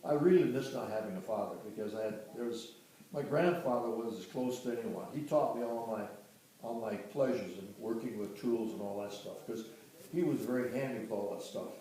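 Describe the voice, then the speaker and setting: An older man from Michigan recalling his family history in a casual, halting way, often restarting and correcting himself. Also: mid-range voice, warm tone. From Dearborn, Michigan. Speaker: interviewee recounting family history. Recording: roomy, distant home recording.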